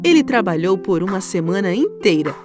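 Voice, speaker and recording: A woman's voice: mid-range voice, very animated, a little fast. A woman with a Brazilian accent narrating a scripted children's story. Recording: music under the voice.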